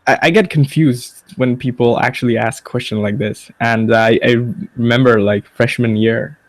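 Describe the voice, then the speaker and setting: A young man as a guest in a casual chat, talking haltingly, repeating words as he searches, swearing casually. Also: mid-range voice, no echo.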